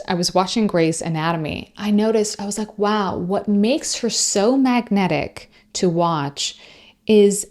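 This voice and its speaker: Slow, measured woman host. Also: mid-range voice, a little mellow. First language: Persian. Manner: measured and deliberate, with long pauses. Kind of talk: slow reflective monologue.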